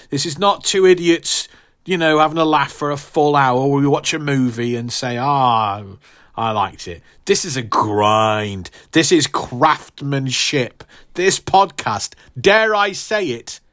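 A man's voice: a little high, fairly bright tone. A man hosting a podcast, talking straight to listeners in an emphatic, mock-grandiose way, casual but partly scripted. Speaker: podcast host.

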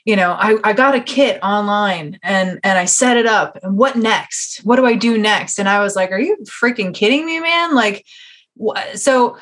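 A woman's voice: mid-range voice, normal speed, a bit bright. A woman hosting a casual interview podcast, talking emphatically in slangy, conversational asides.

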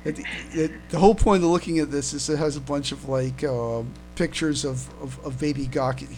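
A man's voice: on the high side, normal speed. A man chatting casually as a podcast co-host, often stumbling and repeating his words as he goes. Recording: steady background noise and hum.

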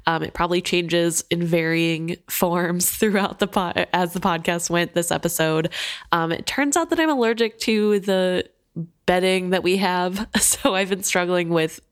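A young woman co-hosting a relaxed book podcast, her voice a touch low and stuffy from allergies. She talks in a loose, chatty way, full of fillers and quick laughs. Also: clear, resonant tone. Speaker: podcast co-host. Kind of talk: casual book podcast chat.

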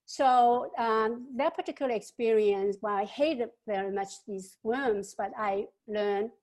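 A woman, giving an interview answer about her life. She speaks haltingly, with many fillers and repeated words. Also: fairly high pitch, darkish tone, poor audio. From China. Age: 70s.

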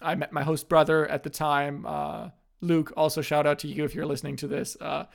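A young man from Germany, casually telling stories as a podcast host, in a relaxed way with frequent restarts. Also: fairly high pitch, a little fast, creaky.

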